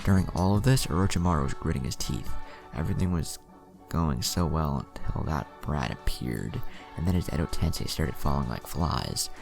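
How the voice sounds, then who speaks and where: A man's voice: a bass voice, gravelly. A man reading scripted fan fiction as a narrator. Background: music.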